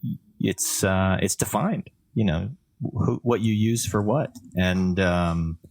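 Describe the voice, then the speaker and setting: A man's voice: deep voice, takes it slowly, a little mellow, dry recording. A man from Canada chatting casually as a podcast co-host, explaining things in a thoughtful, discursive way.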